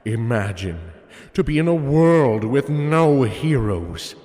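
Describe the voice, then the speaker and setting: A man's voice: mid-range voice, very animated, takes it slowly, darkish tone, big-room sound. A man playing a huge, gloating, theatrical supervillain mastermind in an audio drama, with a grandiose, taunting delivery. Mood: scheming, boastful. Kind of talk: hobby audio drama acting.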